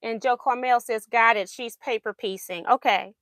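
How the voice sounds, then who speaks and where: A woman's voice: a little high, fairly even intonation, normal speed, a bit bright, no echo, studio quality. A woman hosting a quilting livestream, chatting casually while she sews, laughing often and answering viewer comments, her voice going in and out.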